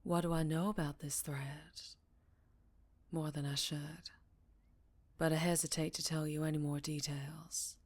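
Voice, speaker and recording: Casting: actress as melancholy, tender vampire woman for ASMR roleplay; low register, Southern US accent, hushed and unhurried. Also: level tone. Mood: uneasy, hushed. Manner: slow, hushed, affectionate, with pauses and sighs. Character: gentle, melancholy English-born female vampire, tender and wary. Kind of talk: intimate ASMR roleplay, soft and partly whispered.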